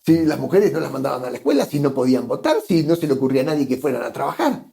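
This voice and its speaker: Gravelly, mellow Argentine man. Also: mid-range voice, sing-song, rapid-fire. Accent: Argentine Spanish. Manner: thoughtful, repeats words while searching for phrasing. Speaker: guest economist. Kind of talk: conversational interview.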